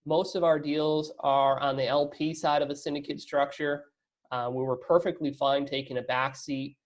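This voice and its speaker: A man with a somewhat high-pitched voice talks as a guest in a business interview. He explains things in a casual, conversational way. He often punctuates his points with small tag questions. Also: little pitch movement, normal speed. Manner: conversational, peppered with "you know" and "right?" tag questions. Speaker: interview guest, real estate investor.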